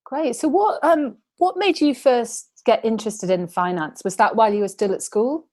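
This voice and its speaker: A woman's voice: on the high side, normal speed, darkish tone. Woman hosting a conversational interview. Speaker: podcast interviewer and host. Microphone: video call.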